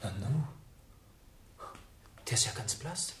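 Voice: mid-range voice, animated, slow. A performer in a German radio play playing an obliging, helpful youth. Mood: startled. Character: obliging, helpful youth named Dennis in a household. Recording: roomy, distant sound, faint noise.

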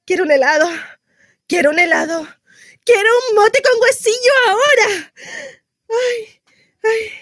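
A frantic pregnant chilean lady, super high voice, slow dramatic delivery, comic stage monologue, dry close sound. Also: animated, no echo, exaggerated voice. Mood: swooning, excited. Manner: exclamatory, melodramatic. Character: frantic, sardonic pregnant woman going through labour and motherhood. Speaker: actress.

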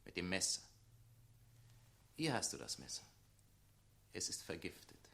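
An actor playing a tyrant's dutiful young private secretary, formal and impassive, in a 1960s radio play. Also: male voice, sits low, very animated, a little slow, creaky, a bit bright, heavily acted. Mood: cold, dutiful. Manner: formal, clipped, impassive delivery.

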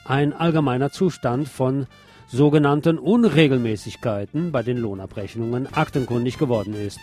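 A man's voice: medium pitch, normal speed. A man reads scripted radio commentary as a host, with an emphatic, indignant delivery. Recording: music bed under the voice. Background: music.

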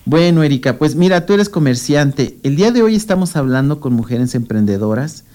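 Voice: medium pitch, lively intonation, brisk, dark tone, slight background noise. A radio host interviewing a guest, asking long, encouraging, rambling questions.

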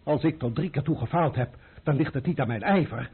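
Casting: blustering, defensive thug leader for radio drama, gravel-rough husky voice, old boxy recording. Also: male voice, a little high, fairly quick, narrow, tinny audio. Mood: defensive pride. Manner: protesting, emphatic, deferential. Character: blustering, defensive ex-brewer leading a band of revolutionary thugs.

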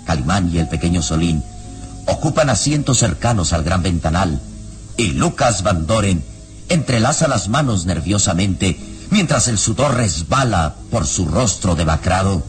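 A man with a very deep voice narrates a radio drama. His delivery is solemn and suspenseful, set against a prominent musical score. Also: sing-song, moderate pace, faint music behind.

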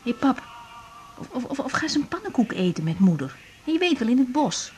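An actress playing a sensitive young female doctor in a 1980s radio drama, speaking in a hesitant, soft-spoken way. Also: high voice, big pitch swings, a little fast, faint music behind. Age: young adult.

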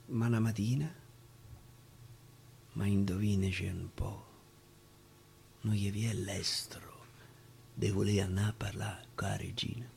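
A man with a Roman accent reciting a dialect poem theatrically on the radio, swinging from whispers to loud climaxes. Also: lowish voice, fairly even intonation, unhurried, vocal fry, pauses often, a little hiss or hum. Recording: radio broadcast with guitar underneath. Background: guitar music.

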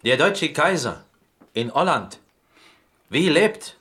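A man acting a naive, eager Greek cook with a Greek accent and halting, broken German, asking earnest questions in a radio play. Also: a little high, sing-song, bright, forceful tone, exaggerated voice. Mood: curious, eager.

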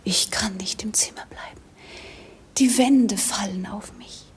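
Very breathy, melancholy young princess. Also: female voice, mid-range voice, a little slow. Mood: dreamy. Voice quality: breathy, hushed, near-whisper. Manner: poetic, wistful. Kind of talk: 1950s German radio drama acting.

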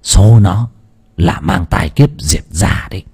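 A man's voice: takes it slowly, vocal fry, darkish tone, breathy edge, heavily acted. Man doing a grave, muttering village fortune-teller. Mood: ominous. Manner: low muttering, whispered asides.

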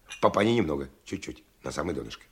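An actor in a radio drama playing a rough, hospitable son, speaking in a coarse, colloquial, coaxing way with a husky voice. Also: male voice, a bass voice, big pitch swings, fast, noisy background, exaggerated voice. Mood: gruff, hushed. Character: rough, hospitable man coaxing his silent old father to drink.

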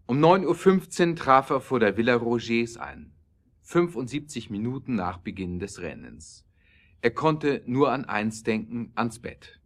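A man's voice: on the low side, fairly bright tone. A man reading scripted narration for a German audio drama.

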